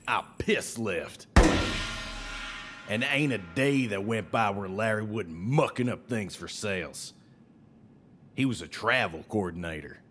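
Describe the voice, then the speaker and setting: A folksy, aggrieved Southern salesman in an audio drama, needling and sly. His pitch swings widely over colourful turns of phrase, with faint music behind him. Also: fairly bright tone. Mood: disdainful, folksy. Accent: American South. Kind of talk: scripted audio drama acting.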